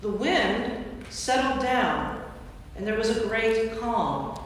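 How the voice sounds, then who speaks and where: A woman's voice: a little high, animated, slow, slight background noise. A woman narrating a staged scripture reading in a church, telling the story from a script. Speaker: narrator, priest. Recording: distant mic, very echoey church hall.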